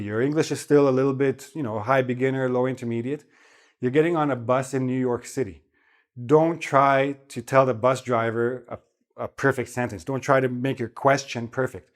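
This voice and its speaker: Chatty man teaching English. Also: medium pitch. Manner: relaxed, chatty, repeats "okay?" to check in. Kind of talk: spoken English lesson.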